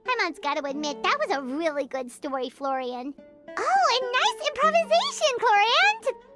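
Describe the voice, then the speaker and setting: A woman voicing a tiny, childlike female fairy companion in a video game, excitable and bossy, with a squeaky voice. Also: moderate pace, loud music behind the voice. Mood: excitable, admiring. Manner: speaks of self in third person, indignant.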